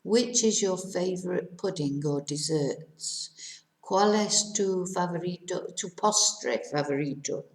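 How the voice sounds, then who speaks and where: A middle-aged woman, teaching to Spanish speakers, repeating each question slowly and clearly. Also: lowish voice, roomy sound. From England. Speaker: English teacher. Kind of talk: language lesson for Spanish speakers.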